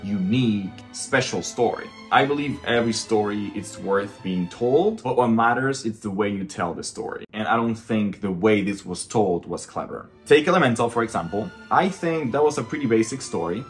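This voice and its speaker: A man from the Dominican Republic whose first language is Spanish speaks in a low voice. He reviews films in a casual, emphatic, opinionated vlog style, with faint guitar music behind him. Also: animated, moderate pace, hardly any pauses. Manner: emphatic, conversational. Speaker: animator and film reviewer.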